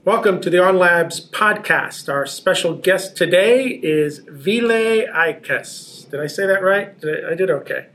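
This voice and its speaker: An eager male podcast host with a voice somewhat higher than usual. He talks in a casual way and stumbles over repeated words as he gets into his questions. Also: expressive, a little slow, hardly any pauses, a bit bright. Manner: eager, repeats words when starting sentences. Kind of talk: casual interview hosting.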